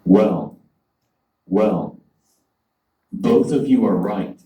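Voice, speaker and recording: A computer-generated man's voice giving a prepared conference speech in a measured, formal way. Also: on the low side, reverberant, distant mic. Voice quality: synthetic, even device voice. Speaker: conference speaker.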